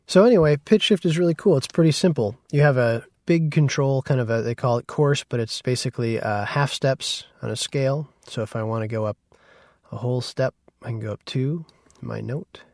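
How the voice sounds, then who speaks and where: A man giving a casual, conversational software tutorial as an instructor. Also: mid-range voice, brisk, no room sound, studio quality. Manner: informal, conversational.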